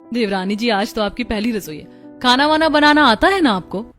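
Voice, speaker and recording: Woman as a polite young cartoon daughter-in-law, high voice, homely, background music. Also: expressive, normal speed, fairly bright tone. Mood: friendly. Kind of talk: cartoon family story dubbing.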